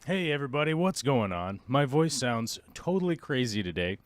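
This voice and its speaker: A man hosting a live stream from his home office, hoarse and losing his voice, chatting casually and chuckling often as he rambles. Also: medium pitch, very animated, normal speed, no echo.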